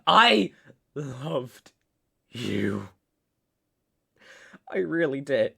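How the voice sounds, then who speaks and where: A man performs a young British crime lord in a dark ASMR roleplay, an obsessive jilted lover. His voice is breathy and husky with a gravelly creak. He speaks slowly and haltingly, with volatile menace. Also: on the high side, lively intonation, full character voice. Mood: bitter, intense. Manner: halting, menacing, volatile, swinging between hush and outbursts. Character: unstable young male mafia boss, obsessive jilted lover. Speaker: roleplay voice actor. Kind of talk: dramatic ASMR roleplay monologue.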